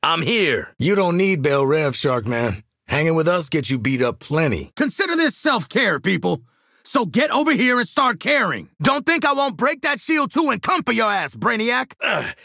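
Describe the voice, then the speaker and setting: A man with an American accent voicing a cocky, sardonic marksman assassin in a video game, streetwise and wry, with a gritty, husky voice. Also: on the high side, lively intonation, a little slow, few pauses, a bit bright, no room sound, clean studio sound, heavily acted. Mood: urgent, fired up. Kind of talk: video game combat barks and banter.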